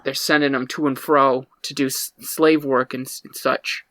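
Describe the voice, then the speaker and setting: A man playing a depressed lone detective in an improvised scene, terse, deadpan and threatening. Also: medium pitch, moderate pace, dry recording, close to an everyday voice. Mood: businesslike. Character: gruff depressed lone detective, male, grieving his wife.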